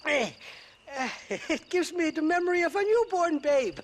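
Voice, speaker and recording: High, slurring Irish drunk. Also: male voice, expressive, normal speed, slight background noise. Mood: wheedling. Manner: slurred, rambling, ingratiating. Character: drunken, wheedling, shifty Irish rogue man in the Wild West. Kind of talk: video game character acting.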